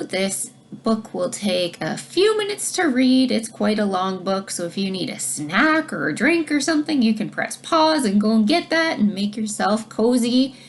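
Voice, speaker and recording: A woman teacher reading a picture book aloud to children, pausing to explain things in simple, child-directed language. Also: medium pitch, a little hiss or hum. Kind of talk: read-aloud lesson with explanatory asides.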